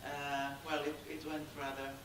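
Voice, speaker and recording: A Finnish audience member comments in English with a Finnish accent, halting and repeating words. The voice is picked up from a distance. A strongly reverberant hall with clear background noise surrounds it. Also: mid-range voice, monotone. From Finland. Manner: halting, many fillers and repeats. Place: cinema hall. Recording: echoey hall from far off with noise.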